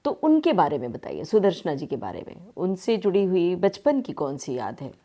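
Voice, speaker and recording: Lower-voiced woman hosting a radio interview. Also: sing-song, moderate pace, mellow. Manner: courteous, frequent listening murmurs.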